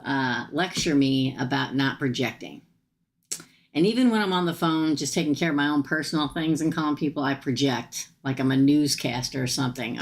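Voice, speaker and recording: Tarot reader, woman, low gravelly voice, chatty with viewers, tired and getting hoarse. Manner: chatty, addresses viewers directly. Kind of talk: live-stream tarot reading.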